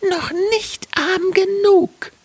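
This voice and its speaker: A little fairy tale sparrow with a piping, extremely high voice. The bird speaks slowly and deliberately, with an unforgiving, taunting temper. The sound is close and dry. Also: male voice, fairly even intonation, no room sound. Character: small vengeful male sparrow, taunting and unforgiving.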